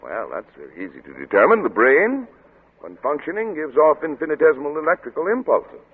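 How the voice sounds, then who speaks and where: An actor playing a driven, brusque scientist and father in an old-time radio drama, lecturing briskly and insistently. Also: on the high side, wildly swooping pitch, breathy edge, narrow, tinny audio, exaggerated voice. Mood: absorbed, explaining. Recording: crackly, band-limited vintage radio broadcast.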